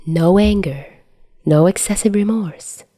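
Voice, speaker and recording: A woman's voice: medium pitch, unhurried, mellow, no room sound, studio quality. A woman reading scripted fan fiction as a story narrator. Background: faint music.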